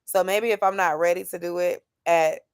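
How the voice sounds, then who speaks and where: A woman's voice: lowish voice, steady tone, normal speed, clean tone, dry recording, studio quality. A woman in her late twenties, chatting candidly and thinking aloud about her plans while answering comments from her viewers. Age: 20s. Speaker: YouTuber doing a weekly check-in. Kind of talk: casual chat with a live chat.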